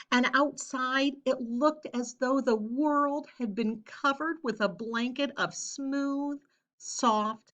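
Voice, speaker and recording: Female children's storybook reader, unhurried and expressive, measured phrasing, slightly muffled lo-fi sound. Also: medium pitch. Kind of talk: children's read-aloud, scripted narration.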